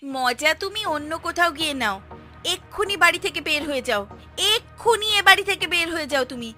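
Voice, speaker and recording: A woman voicing sharp-tongued village women in a Bengali cartoon, with a Bengali accent. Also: extremely high pitch, expressive, fairly quick, heavily acted. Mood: angry, scolding. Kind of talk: cartoon voice acting. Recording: music behind the voice.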